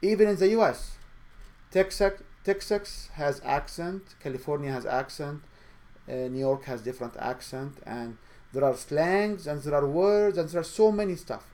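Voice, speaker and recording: A man's voice: on the high side, normal speed, a little mellow. A man hosting his own show, giving an earnest coaching talk in English with a noticeable accent and some non-native grammar. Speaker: show host and speaking coach. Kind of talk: motivational monologue.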